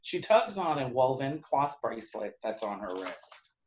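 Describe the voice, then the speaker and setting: A man's voice: mid-range voice, big pitch swings, unhurried, a little hiss or hum. A man as a neutral narrator, reading out stage directions at a play reading. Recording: echoey room.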